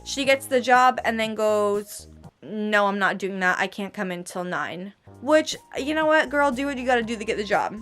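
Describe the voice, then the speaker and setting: A woman's voice: mid-range voice, lively intonation, moderate pace, fairly bright tone, soft background music. A twenty-one-year-old woman with a slight lisp, ranting about her barista job in a casual vlog, exasperated and slangy, checking in with "okay?" over and over. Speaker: vlogger and barista trainer.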